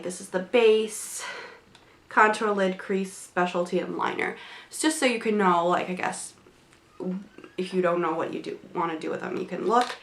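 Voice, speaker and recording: A woman's voice: medium pitch, reverberant. A young woman reviewing makeup in a casual, rambling way, her voice a bit congested from a cold. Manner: chatty, rambling, many fillers and restarts. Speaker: beauty vlogger. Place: small home room, indoors.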